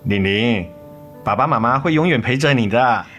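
A man acting a remorseful, devoted middle-aged father in a radio drama, gentle, tender and coaxing. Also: medium pitch, lively intonation, moderate pace, close to an everyday voice. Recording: music under the voice.

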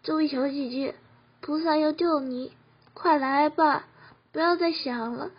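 High girl playing a young heavenly attendant. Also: a little slow. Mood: admiring, gentle. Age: teens. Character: eager young celestial attendant of a bodhisattva. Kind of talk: amateur radio drama acting. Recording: muffled lo-fi recording.

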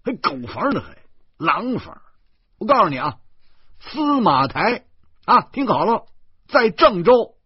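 A man's voice: medium pitch, animated, normal speed, slightly rough, no echo, lo-fi sound. A man voicing an exasperated, mocking husband in an audiobook, emphatic and sarcastic. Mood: roaring, mocking. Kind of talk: acted audiobook dialogue.

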